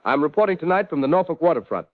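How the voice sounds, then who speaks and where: A man's voice: a little high, big pitch swings, rapid-fire, no echo. A man playing a 1940s radio correspondent reporting live from the field in an audio drama. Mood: urgent. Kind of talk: 1940s radio news acting. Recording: tinny, narrow field radio link.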